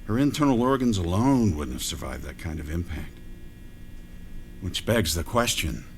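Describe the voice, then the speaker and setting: Man playing a deep-voiced, clinical medical examiner. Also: expressive, normal speed, a little hiss or hum. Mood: clinical, skeptical.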